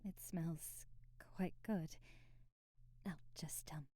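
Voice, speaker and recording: A woman's voice: sits low, fairly even intonation, very slow, mellow, exaggerated voice. A woman playing a timid, devoted young maid in an ASMR roleplay, speaking formally and deferentially with hesitant stammers and period-drama phrasing. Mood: shy, nervous.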